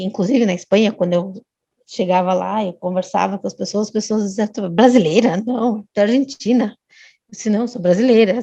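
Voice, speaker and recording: A woman's voice: medium pitch, gravelly, warm tone, dry recording, dull audio. A woman, a guest telling a long personal story in casual chat, often restarting and adding tag questions. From Brazil.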